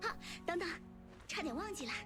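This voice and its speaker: Poised young idol singer lady from a game, high bright voice, playful. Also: animated, a little fast, soft background music. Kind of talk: anime game voice acting.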